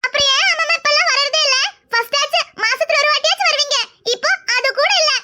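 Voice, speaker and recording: A woman's voice: extremely high pitch, fast. A woman voicing a cheeky, stubborn young cartoon girl with an insistent, childlike manner and a southern Tamil Nadu accent. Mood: pleading. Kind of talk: cartoon character dialogue. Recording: very loud background noise.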